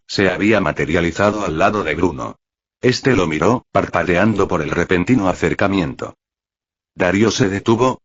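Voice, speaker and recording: A low-voiced man narrates a scripted story in a husky tone. He reads the dialogue in his own narrating voice, as in an audiobook. The audio is dull and lo-fi. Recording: muffled, roomy, lo-fi audio.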